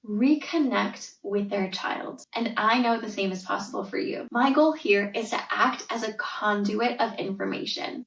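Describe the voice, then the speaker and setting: Canadian woman in her 30s, higher voice, earnest and reassuring, kinda far from the mic in an echoey room. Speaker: podcast host, tutor and coach. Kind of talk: scripted solo podcast intro.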